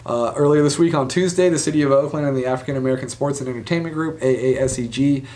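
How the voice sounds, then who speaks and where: A man's voice: mid-range voice, fast talker, darkish tone. A man hosting a sports show, giving casual, conversational commentary with speculative asides. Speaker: sports podcast host.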